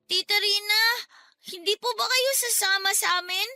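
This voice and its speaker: A woman's voice: extremely high pitch, moderate pace, sharp tone, no echo, studio quality, faint music behind, full character voice. A woman playing a sweet, anxious young niece in a radio drama, speaking politely and pleadingly. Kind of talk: Tagalog radio drama acting.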